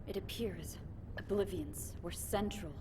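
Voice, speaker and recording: A woman playing a solemn, long-sealed warrior in a video game, speaking in formal, archaic, measured phrases. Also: fairly low pitch, background noise, close to an everyday voice. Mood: solemn, yearning. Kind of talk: video game cutscene dialogue acting.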